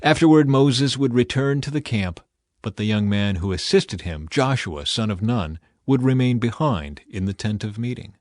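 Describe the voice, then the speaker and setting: A man reading scripture as a scripted audiobook narration in a measured, solemn manner. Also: medium pitch, animated, a little mellow, no room sound, clean studio sound.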